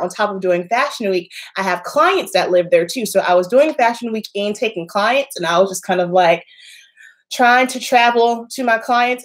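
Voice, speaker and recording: Woman, Southern American accent, congested, slightly nasal, chatty livestream talk, emphatic, laughs often. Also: medium pitch. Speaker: makeup artist livestreaming to viewers. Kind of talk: casual livestream chat.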